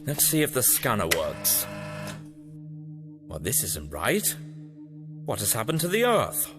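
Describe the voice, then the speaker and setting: Man acting fretful eccentric scientist, wildly swooping pitch. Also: huge pitch swings, unhurried. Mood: troubled, puzzled. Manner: muttering to himself, hesitant, exclaiming. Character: eccentric, fretful male time-travelling scientist, talking to his machine. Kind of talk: fan audio drama acting. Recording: music under the voice.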